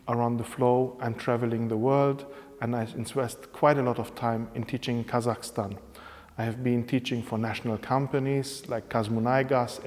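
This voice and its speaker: A man's voice: mid-range voice, darkish tone. Hungarian-born man speaking with reverb. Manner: measured, explanatory, with pauses and fillers. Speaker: interviewed professor. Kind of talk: spontaneous interview answers in English. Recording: reverberant room.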